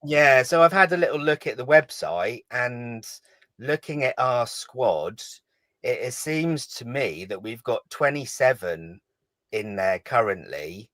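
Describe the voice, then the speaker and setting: A man from England calling in to a podcast, talking slowly and hesitantly and thinking aloud with fillers. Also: mid-range voice, lively intonation, fairly bright tone, dry recording. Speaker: caller. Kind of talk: casual call-in chat.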